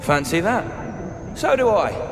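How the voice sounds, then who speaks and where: A swaggering smuggler merchant in a fantasy game. His highish voice swoops wildly through teasing patter, in an echoey space. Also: big pitch swings, measured pace, music in the background. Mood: sly, jovial. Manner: folksy, teasing salesman patter. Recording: echoey cavern reverb.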